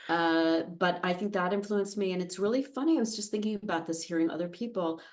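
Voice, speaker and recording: Woman, fifties, American accent, low voice, chatty, self-deprecating, casual podcast guest. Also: brisk. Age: 50s. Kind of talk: casual anecdotal interview conversation. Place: podcast studio.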